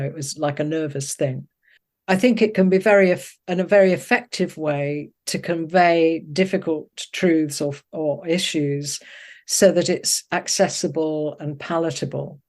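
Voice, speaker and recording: An older woman talking reflectively in an interview, thoughtful and often pausing to search for her words. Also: deep voice, a little mellow. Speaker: interviewed poet.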